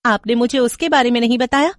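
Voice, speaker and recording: A woman's voice: on the high side, quick pace, dry recording, clean studio sound, pitched above the performer's own voice, natural voice. A woman voicing a young daughter-in-law, urgent and dramatic, worried yet defiant, in a horror story. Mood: worried, insistent.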